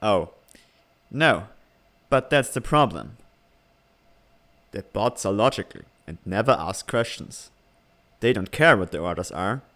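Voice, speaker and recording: Man playing a calm, lowish-voiced analytical young man. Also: unhurried, long pauses. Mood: calm, pensive. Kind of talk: animated comic fan dub.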